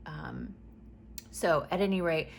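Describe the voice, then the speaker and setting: Sick woman vlogger, lowish raspy voice, chatty and rambling, light hiss behind. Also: wildly swooping pitch, slow pace. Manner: chatty and rambling, frequent ums. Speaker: planner vlogger. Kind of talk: chatty plan-with-me vlog.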